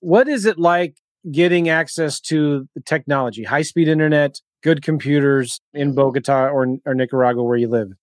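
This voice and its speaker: A man's voice: fairly high pitch, moderate pace, hardly any pauses, no echo, clean studio sound. A man interviewing people over a video call, giving upbeat, encouraging replies.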